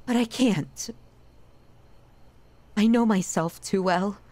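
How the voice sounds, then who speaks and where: A woman playing an obsessive, lovesick, possessive yandere in an ASMR audio roleplay, with an emotional, halting, dramatic delivery. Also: medium pitch, warm tone, no echo. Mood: resigned longing.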